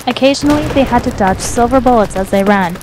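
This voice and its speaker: Female narrator for a fan fiction audiobook: higher-pitched voice, scripted storytelling, slight background noise. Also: fast.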